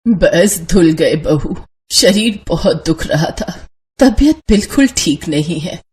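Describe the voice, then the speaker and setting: A frail, sorrowful old grandmother acted by a woman pleads in a storybook drama. She speaks very slowly in a lowish voice. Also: animated, a little mellow. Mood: weary.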